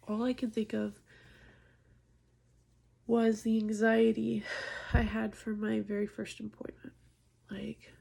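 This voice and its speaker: A woman in her early thirties talking casually and candidly to viewers in a personal vlog, halting and self-deprecating, with a husky, gravelly voice. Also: mid-range voice, dark tone. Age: early 30s. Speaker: nurse and vlogger.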